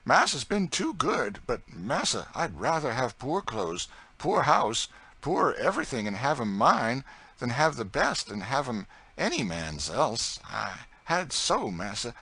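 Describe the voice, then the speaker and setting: Man doing devout old servant, earnest, slow, fervent, high raised voice, bright. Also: sing-song, gravelly, slightly rough, full character voice. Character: humble devout older enslaved man, earnest.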